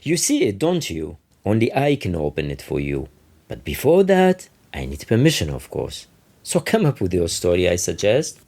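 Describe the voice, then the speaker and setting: A man's voice: low voice, huge pitch swings, moderate pace, darkish tone, heavily acted. A man reading aloud the part of an old, officious border guard who lectures dismissively in a literary story. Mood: smug, officious. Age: older.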